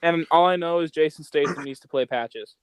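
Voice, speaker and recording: A man co-hosting a podcast in casual, slangy chat, rambling as he thinks out loud. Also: a little high, brisk, heavy background noise. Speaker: podcast co-host.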